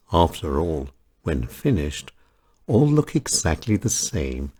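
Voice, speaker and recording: A man reading his own short stories as a scripted narration, in deliberate, emphatic short phrases. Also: sits low, darkish tone, husky.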